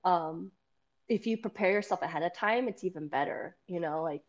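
A woman jiu-jitsu coach with a loud, carrying voice, chatting casually, laughing often and acting out what people said. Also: lowish voice, moderate pace, highs cut off. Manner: animated, laughs often, acts out quoted dialogue. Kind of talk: casual podcast chat.

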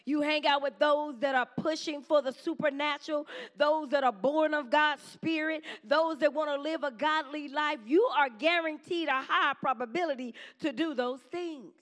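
A woman preaching a sermon to her congregation in a conversational style, with quick call-and-response asides to the church. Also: sits high, a bit bright. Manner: says she has a strong voice.

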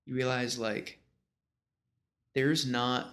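A man's voice: medium pitch, fairly even intonation, slow, a bit bright. An American man in his thirties from small-town New York, telling stories casually in a slangy, rambling, informal way. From small-town western New York, United States. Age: 30s. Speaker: guest. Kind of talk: casual conversational storytelling.

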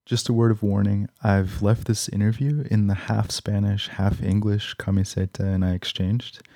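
A man's voice: on the low side, fairly even intonation, a little slow, warm tone. A man hosting a podcast, reading scripted narration to introduce an episode. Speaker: podcast host.

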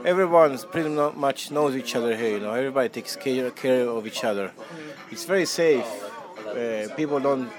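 A man's voice: mid-range voice, moderate pace, a crowd in the background. A man from Chile who runs a hostel, answering interview questions casually with many fillers and restarts. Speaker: hostel owner.

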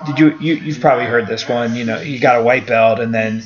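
A man's voice: medium pitch, fairly even intonation, a little fast, dull audio, a crowd in the background. Blunt, opinionated man in his forties. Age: 40s. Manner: blunt, opinionated, swears casually. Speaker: karate school owner, guest. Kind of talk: casual podcast conversation.

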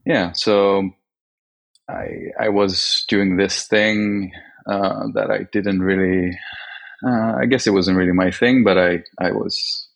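Slow, gravelly-voiced man from Sweden. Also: sits low, steady tone. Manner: hesitant, many "um"s and restarts. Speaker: guest filmmaker. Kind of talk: interview answers.

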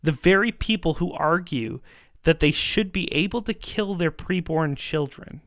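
A man giving a persuasive monologue in an emphatic, rhetorical manner, with dramatic pauses and repetition. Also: a little high, fairly bright tone, no echo, studio quality. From Canada. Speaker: podcast host and pro-life activist.

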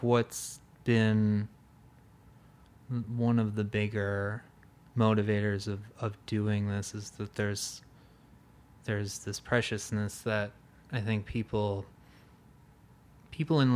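A man's voice: lowish voice, slight background noise. A man hosting a casual interview, rambling and searching for words, with an easy, swearing-in-passing manner. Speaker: podcast host.

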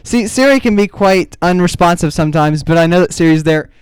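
Young man, goofy podcast host, high voice, smooth clear tone, dry close sound. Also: brisk, dry recording. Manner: goofy, playful, teasing. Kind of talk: casual comedic chat.

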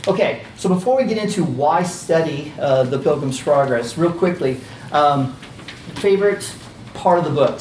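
Brief: male teacher with a slightly high, squeaky voice, rambling lecture, recorded from across an echoey room. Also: darkish tone, a little hiss or hum. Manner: chatty, many fillers and restarts. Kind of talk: casual classroom lecture. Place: classroom. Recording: roomy, distant, faint ambience.

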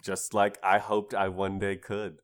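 Deep-voiced man telling casual stories. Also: completely flat delivery, unhurried. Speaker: podcast co-host. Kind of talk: casual storytelling on a gaming podcast.

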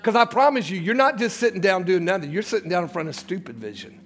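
A male pastor preaching a sermon, swinging between loud shouts and hushed low passages and repeating words as he builds. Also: animated, quick pace.

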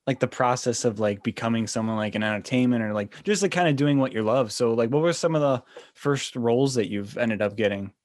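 A man's voice: medium pitch, brisk, darkish tone, dry recording, studio quality. A young American man hosting a podcast, asking questions casually in a loose, hesitant, rambling way. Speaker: podcast host. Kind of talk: casual chat.

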